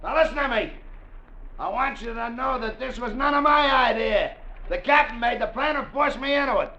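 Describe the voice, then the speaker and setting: A man's voice: extremely high pitch, moderate pace, bright, forceful tone. An actor playing a frightened sailor, urgent and pleading as he bargains in an old-time radio drama. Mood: urgent, bargaining. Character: McGraw, pleading shipmate, frightened and bargaining for his life. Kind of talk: 1940s-50s radio drama acting. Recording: muffled, faintly noisy vintage radio.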